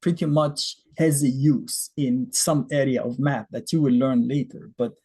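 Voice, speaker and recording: A man's voice: mid-range voice, fairly even intonation, a little slow, warm tone, slightly rough. A man in his fifties from Egypt, a native Arabic speaker with an Egyptian accent, teaching a beginner math lesson in a casual, conversational way with rhetorical questions and fillers.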